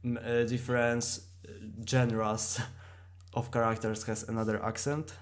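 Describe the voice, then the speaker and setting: A man with a non-native English accent co-hosting a casual podcast conversation, speaking haltingly as he searches for words. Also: medium pitch, little pitch movement. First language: not English. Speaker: podcast co-host.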